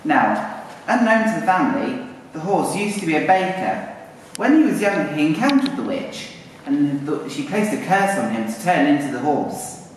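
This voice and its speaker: A man's voice: a little high, sing-song, normal speed. A man narrating a live puppet show as a storyteller to an audience. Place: arts centre performance space. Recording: very echoey hall, distant mic, faint noise.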